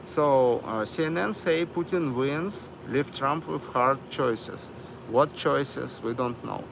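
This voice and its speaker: A man hosting a live stream, talking slowly with many fillers and broken grammar. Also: mid-range voice, vocal fry, noisy background. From United States. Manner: hesitant, many fillers, non-native grammar. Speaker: livestream host.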